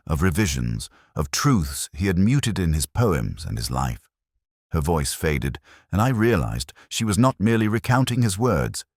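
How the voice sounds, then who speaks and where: A man narrating a scripted first-person detective story in a measured, solemn, literary manner. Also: rumbling low, dry recording, clean studio sound.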